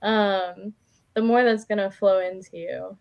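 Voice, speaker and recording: A woman's voice: mid-range voice, steady tone, a little slow, vocal fry, darkish tone. Woman giving a casual tarot reading. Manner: informal, chatty, hesitant phrasing with frequent "like". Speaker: guest tarot reader.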